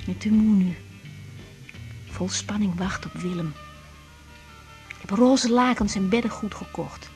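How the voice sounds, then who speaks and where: An actress playing a young woman doctor in a 1980s radio drama, talking hesitantly with soft whispered asides. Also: mid-range voice, close to an everyday voice. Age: young adult. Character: young woman doctor, thoughtful and self-doubting.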